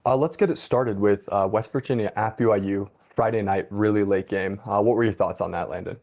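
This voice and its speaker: A man's voice: lowish voice, fairly even intonation, fairly quick, vocal fry, darkish tone, dull audio. A man hosting a casual football talk show, giving opinions with lots of "I mean" and "like.". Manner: conversational, many "I mean" and "like" fillers. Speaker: host.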